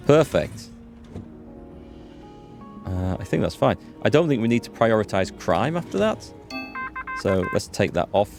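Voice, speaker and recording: Man, lowish voice, casual gaming commentary, thinking aloud, explanatory, music behind. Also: a little mellow. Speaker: gaming video host. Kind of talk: casual let's play commentary.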